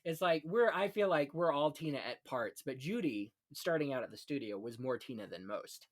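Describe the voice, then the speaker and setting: A man's voice: fairly high pitch, animated, moderate pace. A man hosting a casual film-history discussion, talking in an effusive, opinionated way full of anecdotes. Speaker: podcast host on classic Hollywood films.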